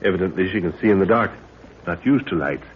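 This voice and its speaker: An actor playing a steady, authoritative middle-aged expedition leader and father in a 1930s radio adventure, speaking formally. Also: sits low, quick pace. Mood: firm, composed. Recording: tinny, faintly hissy old radio recording.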